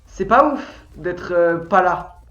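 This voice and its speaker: Stuffy-nosed teen gamer, bright voice. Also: male voice, sits high, lively intonation, slow. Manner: rambling, swears freely, bursts into angry shouting at the game. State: stuffy nose from a cold. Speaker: streamer. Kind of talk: casual gaming stream chat. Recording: roomy echo, dull lo-fi sound, faint music.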